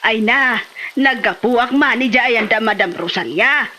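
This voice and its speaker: A woman acting a chatty, eager village mother in an Ilocano comedy drama, with a Filipino Ilocano accent and an expressive manner. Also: a little high, unhurried. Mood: plaintive, grateful.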